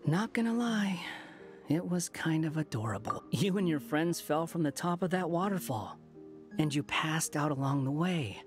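A man playing an aloof, sarcastic young hero in an anime video game, with a dry, dismissive delivery. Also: sits high.

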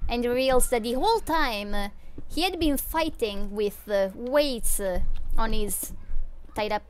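A woman's voice: a little high, animated, a little slow, slight background noise. A young woman from Switzerland chatting casually while livestreaming a game, rambling with many fillers and little laughs, into a desk microphone at home. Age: young adult. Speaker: streamer. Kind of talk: casual live gameplay chat. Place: home room.

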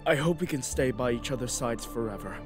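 Young prince, played by a man: sincere, gentle, medium-pitched, parody game dub with music underneath. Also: expressive, fairly quick, lightly acted. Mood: hopeful, tender. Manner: sincere, earnest.

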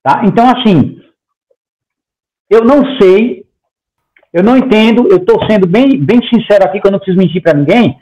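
A man's voice: high voice, moderate pace, long pauses, darkish tone, dull audio. A man of about forty, a guest on a video-call interview, talking emphatically in long runs. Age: 40s. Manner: emphatic, gestures a lot, talks in long runs with repeated "tá?". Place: home room. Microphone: headset on a video call.

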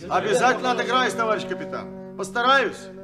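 An actor playing a simple, earnest and proud sailor in a 1970s Soviet radio play, talking in a colloquial, halting way. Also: male voice, very high voice, animated, music in the background. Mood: excited, bantering.

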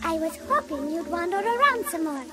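A woman's voice: extremely high pitch, very animated, a little fast. A woman voicing a cute, eerie childlike girl in a video game, with a teasing sing-song delivery that is playful and menacing. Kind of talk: video game cutscene acting. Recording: music over the voice.

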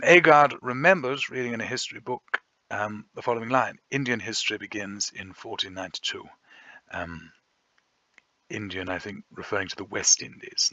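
A man teaching a recorded revision lesson, chatty and self-deprecating, often pausing to think. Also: lowish voice, bright, forceful tone, no room sound, muffled recording. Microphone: voice-over over slides.

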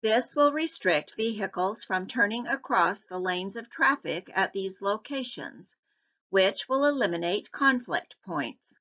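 A woman giving scripted narration for a public hearing slideshow in an even, measured reading. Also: medium pitch, muffled recording.

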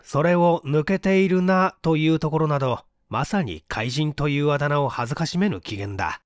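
A man's voice: a little high, normal speed, no room sound, studio quality. A man reading a literary story as a scripted audiobook narration. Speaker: audiobook narrator and stage actor.

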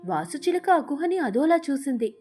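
High, mellow woman storyteller. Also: very animated, moderate pace, faint music behind. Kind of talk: scripted storybook narration.

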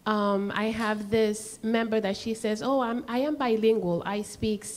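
A woman whose first language is Spanish offers a comment from the audience. Her voice is a little high and her pace slow. She is earnest and stops and restarts as she goes. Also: steady tone. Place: indoor hall.

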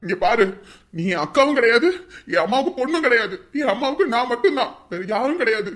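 A woman acting a grieving young son who sobs and shouts over his mother. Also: on the high side, brisk, close to an everyday voice. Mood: furious. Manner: shouting, sobbing.

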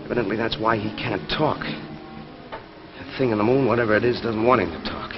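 A man's voice: on the low side, moderate pace, natural voice. An actor playing an earnest, anxious middle-aged father and husband in 1950s television drama. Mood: grave, urgent. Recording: muffled 1950s television soundtrack, loud music.

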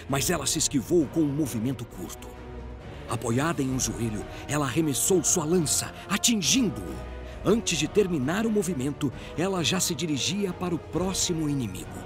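A male narrator with a Brazilian accent reads a dramatic fantasy tale. His voice sits fairly high and moves through a wide, animated range. Music plays clearly behind him.

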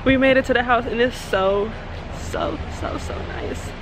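An American woman in her twenties chatting casually and excitedly in slang as she vlogs a house tour. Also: a little high, measured pace, music in the background, rough recording. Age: 20s. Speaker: vlogger. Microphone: camera held at arm's length. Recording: handheld camera with some background noise.

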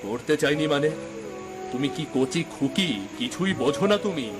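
A man's voice: on the high side, expressive, normal speed, lightly acted. A man playing an emphatic, melodramatic village man in a cartoon folk tale. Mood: angry, reproachful. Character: stern, scolding village husband and pleading chief in a folk tale. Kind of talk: Bengali cartoon fairy-tale voice acting. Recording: music behind the voice. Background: background music.

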